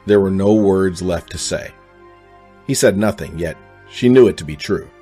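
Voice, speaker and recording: A man's voice: low-pitched, moderate pace, dark tone, breathy edge, soft background music. A man reading scripted narration for a radio drama.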